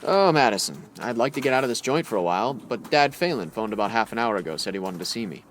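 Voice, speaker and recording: An actor playing a wry, easily exasperated 1940s newspaper photographer in a radio comedy. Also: male voice, fairly low pitch, big pitch swings, fast, a little hiss or hum. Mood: patient, explaining. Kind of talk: old-time radio drama acting.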